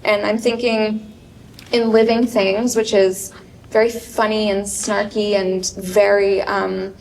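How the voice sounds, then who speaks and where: A woman moderates a panel, building a long question slowly with pauses and fillers. Her voice is level and monotone with a gravelly edge. The mic sits well away from her, with a faint rumble underneath. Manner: halting, searching for words, many fillers. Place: event stage before an audience. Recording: distant mic in a large room, faint rumble.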